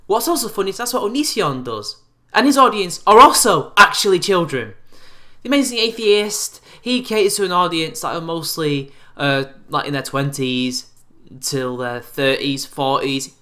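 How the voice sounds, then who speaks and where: A man's voice: a little high, bright, forceful tone. A man in his twenties ranting sarcastically in a response video, recorded on a webcam in a small room. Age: 20s. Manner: sarcastic, exasperated rants. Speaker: YouTube commentator. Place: small bright room indoors.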